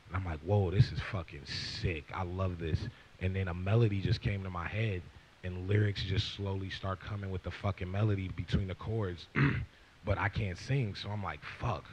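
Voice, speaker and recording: A man's voice: deep voice, a little mellow, highs cut off, slight background noise. A young man in his twenties telling a long profane story, acting out what people said, on a stage with a handheld mic. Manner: profane, rambling, acts out quoted lines. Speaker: guest rapper. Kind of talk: casual storytelling. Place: stage with leather couch before audience.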